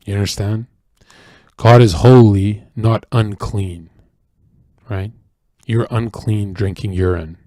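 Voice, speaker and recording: A man's voice: fairly low pitch, steady tone, slow, long pauses, warm tone, no room sound, studio quality. A tired man delivering a rambling, profane, preachy monologue as a streamer, with frequent restarts. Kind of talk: casual opinionated monologue.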